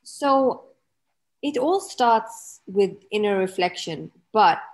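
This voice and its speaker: A woman's voice: mid-range voice, animated, slow pace. A woman from Malawi, a mindset and relationship coach, telling her story reflectively with many hesitations and restarts. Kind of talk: personal story in interview.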